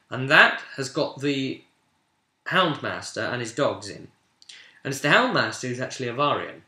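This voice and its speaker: Guy recapping his tabletop rpg session, bright punchy voice, wanders into asides, echoey room. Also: mid-range voice. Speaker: game master. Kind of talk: casual recap of a tabletop role-play session. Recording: roomy, echoey room sound.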